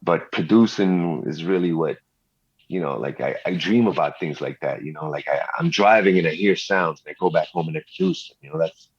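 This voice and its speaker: Deep husky Montreal rapper, laid-back. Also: male voice, a little fast. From Montreal, Canada. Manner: laid-back street slang, many fillers like "you know". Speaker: rapper and music producer, guest. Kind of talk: casual interview storytelling. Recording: slightly dull, lo-fi sound.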